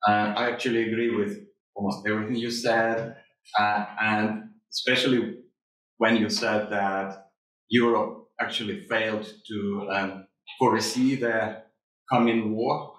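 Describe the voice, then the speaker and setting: A man's voice: on the low side, flat delivery, measured pace, fairly bright tone. A man from Ukraine asking a panel a polite, hesitant question from the audience in a seminar room. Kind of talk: formal question. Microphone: far room mic. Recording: echoey room from far off.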